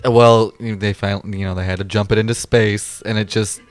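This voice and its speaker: A man with a fairly low voice talks casually on a podcast, enthusiastic and rambling. The sound is dry and close. He often starts a thought, drops it, and picks it up again. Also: level tone, brisk, no echo. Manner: enthusiastic, rambling, trails off and restarts. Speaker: podcast co-host. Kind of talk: casual chat.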